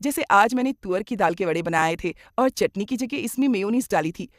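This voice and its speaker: A woman's voice: mid-range voice, animated, fairly quick, no echo, studio quality, natural voice. A woman voicing a cheerful, eager young daughter-in-law who gushes and insists, in a moral cartoon story. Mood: eager, insistent. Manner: gushing, insistent.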